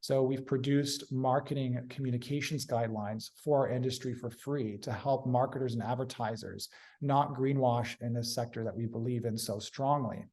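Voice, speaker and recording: A man's voice: mid-range voice, fairly even intonation, normal speed, darkish tone. A man from Hong Kong, a guest in an interview, giving earnest, articulate explanations. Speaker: guest, urban agriculture consultant. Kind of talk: interview answers.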